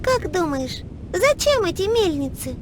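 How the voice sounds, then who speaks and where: Very high-pitched curious cartoon little girl. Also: unhurried, a little hiss or hum, heavily acted. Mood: curious, puzzled. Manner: expressive, wondering aloud. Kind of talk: children's educational cartoon acting.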